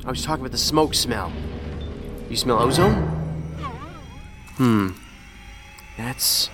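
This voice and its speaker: An actor playing a calm, dry-witted male investigator in a science fiction audio drama, musing in an understated, deadpan way. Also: medium pitch, takes it slowly, loud music behind the voice, close to an everyday voice. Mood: dry, puzzled.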